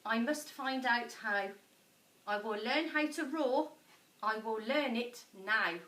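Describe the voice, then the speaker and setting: A woman voicing a small, polite, plucky male mouse with a squeaky, peeping voice in a storybook. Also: slow, pushed and bright. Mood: eager, determined. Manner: polite, eager. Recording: echoey room, distant mic.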